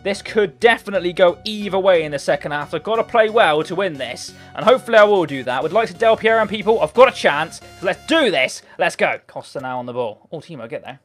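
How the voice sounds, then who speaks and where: Hoarse, excitable young man. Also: high-pitched, fast talker, hardly any pauses. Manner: excitable, swings from muttering to shouting. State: hoarse, losing his voice. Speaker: gaming commentator. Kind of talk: live game commentary. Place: hot bedroom. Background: background music.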